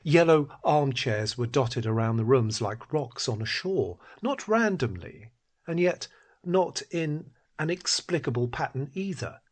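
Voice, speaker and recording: A man reading scripted literary fiction in a husky, breathy voice that often drops toward a whisper, with measured, dramatic phrasing. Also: mid-range voice, sing-song. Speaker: narrator. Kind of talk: scripted literary story narration.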